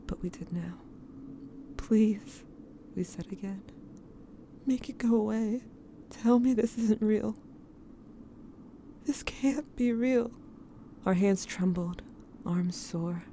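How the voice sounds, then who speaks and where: A woman playing a haunted, grieving diarist in an audio drama, narrating in a measured, brooding and intimate way. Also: on the low side, warm tone, a little hiss or hum. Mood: anguished. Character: haunted, grieving diarist recounting dreams to a lost sibling. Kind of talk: scripted first-person audio drama narration.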